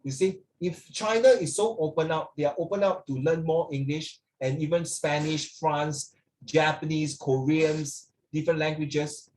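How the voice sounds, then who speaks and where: A man's voice: on the high side, a little slow, darkish tone, poor audio. A man from Singapore with a Singaporean accent teaching children in an online lesson, often checking with "right" and "okay". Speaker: English teacher. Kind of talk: online lesson to children, explaining over a video. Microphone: video call.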